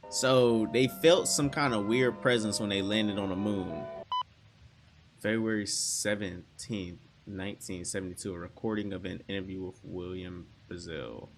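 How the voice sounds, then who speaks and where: A man's voice: on the low side, steady tone, normal speed. A man hosting a reaction video, commenting casually in slangy asides and reading captions aloud. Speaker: host reacting to an online horror series. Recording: music playing behind the voice.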